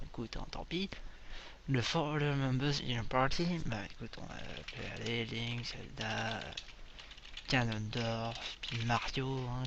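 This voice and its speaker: A man's voice: medium pitch, fairly bright tone, lo-fi sound, a little hiss or hum. A young man casually commenting on a game as he plays, joking and reading the English on-screen text aloud. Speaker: gaming video creator. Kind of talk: casual let's play commentary.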